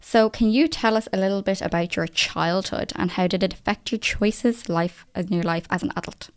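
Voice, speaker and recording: A woman's voice: mid-range voice, moderate pace, smooth. A woman in her twenties co-hosting a podcast, chatting eagerly and enthusiastically. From Ireland. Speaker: podcast co-host. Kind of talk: casual podcast chat.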